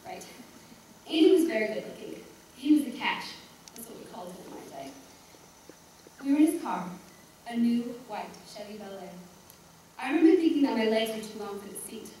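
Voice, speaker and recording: A woman plays an elderly woman in a stage monologue, reluctant and blunt yet chatty. Her voice sits fairly high, and she keeps interrupting herself with dismissive asides. It is heard from a distance in a very echoey room. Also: animated, fairly quick, pauses often, mellow, lightly acted. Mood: wistful, confiding. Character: reluctant, embarrassed seventy-two-year-old woman, chatty and blunt. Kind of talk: theatrical monologue. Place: echoey room, recorded from far off. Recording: echoey room, distant mic, faint noise.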